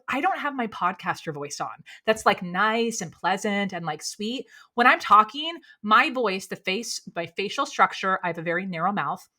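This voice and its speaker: A woman with a low voice, a speaking coach talking candidly as a podcast guest, animated and quick with lots of "like". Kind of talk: candid conversational interview.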